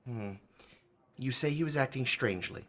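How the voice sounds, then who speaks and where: A man playing a skeptical, courteous gentleman in a radio drama, speaking in a measured, inquisitive way. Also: medium pitch, lightly acted. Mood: skeptical, curious. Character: skeptical, courteous gentleman probing a friend's story.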